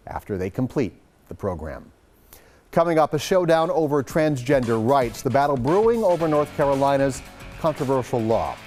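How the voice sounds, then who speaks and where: A man's voice: mid-range voice, darkish tone. A man with an American accent reading scripted local news as a TV anchor in a studio.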